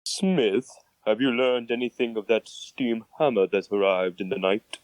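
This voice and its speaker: A man's voice: fairly low pitch, unhurried, no echo, lightly acted, low-quality recording. A man playing a stern, formal, demanding railroad boss in a comic dub. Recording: voice under background music.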